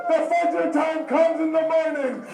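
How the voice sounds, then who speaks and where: Male preacher, mourning sermon, extremely high-pitched, anguished, dramatic repetition, echoey hall. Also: steady tone, measured pace, creaky, darkish tone, faint music behind. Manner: emotional, dramatic, repetitive storytelling with acted-out dialogue. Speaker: preacher, religious lamentation reciter. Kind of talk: impassioned mourning sermon narrating a tragedy. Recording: echoey hall, distant microphone.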